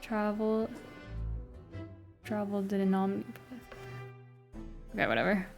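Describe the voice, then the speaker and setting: A woman's voice: medium pitch, a little slow, vocal fry. An American woman streaming live, chatting casually and giggly with her viewers between reading game lines. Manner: chatty, giggly, talks to chat. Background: faint pop music playlist.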